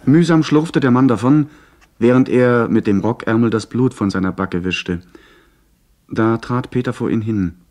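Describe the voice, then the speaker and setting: An actor playing an earnest young gentleman who narrates a radio play in an articulate, literary way. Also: mid-range voice, a little slow, close to an everyday voice. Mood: narrating.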